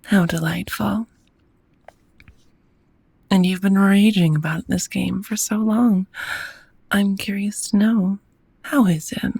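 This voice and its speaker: A gentle, courteous vampire roommate, acted by a woman, murmurs in a very breathy half-whisper for an intimate ASMR roleplay. She takes her time, tender and a little formal, with a creaky edge to the voice. The sound is close and dry. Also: mid-range voice, fairly even intonation, no echo, exaggerated voice. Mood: gently curious. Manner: unhurried, softly formal, tender.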